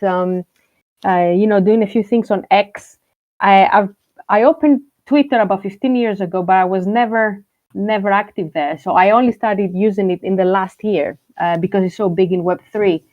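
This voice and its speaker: A woman's voice: medium pitch, dry recording. Chatty woman on a dull, dry line. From Greece. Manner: chatty, frequent fillers and restarts. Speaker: guest, journalist and content creator. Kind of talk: casual interview chat.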